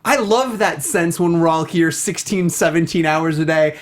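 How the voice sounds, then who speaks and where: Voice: mid-range voice, moderate pace. A review show co-host chatting casually and emphatically, with slangy asides and acted-out quotes.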